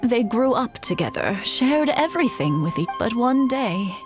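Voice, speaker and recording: A woman voicing a kindly, nostalgic old village wife in a video game, with a gentle, fondly teasing manner. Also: a little high, big pitch swings, over music. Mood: wistful, concerned. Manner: slow, gentle, sighing reminiscence.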